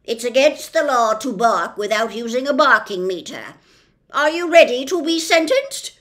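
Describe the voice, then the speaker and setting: A woman voicing a fierce, pompous little male policeman from a storybook, blustering and accusing in loud, emphatic tones. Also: fairly high pitch, lively intonation, moderate pace, pitched above the performer's own voice. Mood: officious, stern. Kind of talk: storybook character voice.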